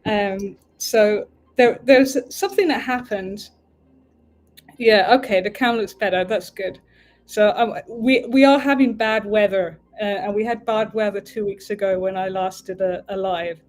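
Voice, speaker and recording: A woman's voice: mid-range voice, a little slow. A woman from the UK with an English accent, hosting a casual livestream as a tarot reader, chatting in a meandering way and breaking off to fix her stream. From United Kingdom.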